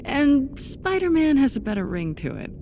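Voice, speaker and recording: An actress playing a composed, seasoned spy in a video game, measured, dry and reassuring. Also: female voice, high-pitched, big pitch swings, warm tone. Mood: wry, reassuring. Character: composed, seasoned female spy mentoring a younger hero. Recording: game audio with faint noise.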